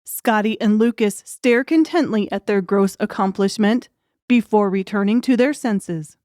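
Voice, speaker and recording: A woman's voice: mid-range voice, unhurried, a little mellow, no room sound, studio quality. A woman reading a scripted audiobook story as a dramatic narrator. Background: music.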